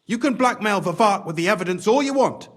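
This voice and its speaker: A scheming, excitable game official with a high voice that swings dramatically. He talks in wordy, exclamatory bursts with a conspiratorial, pragmatic air. The tone is husky, over faint music. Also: fairly quick. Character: cunning, excitable scheming male mine official, smugly pragmatic. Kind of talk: video game cutscene acting.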